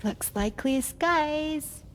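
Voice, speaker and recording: A woman's voice: sits high, animated, extremely slow, slight background noise, full character voice. An actress playing a young woman in a video game, explaining things playfully. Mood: playful, hopeful. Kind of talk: video game cutscene dialogue.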